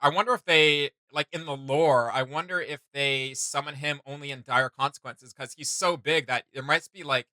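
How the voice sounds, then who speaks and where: A man's voice: a little high, fairly even intonation, normal speed, few pauses, bright, forceful tone, no echo. A man hosting a casual fan reaction chat, talking in a rambling, enthusiastic way with playful sound words. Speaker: reaction video host.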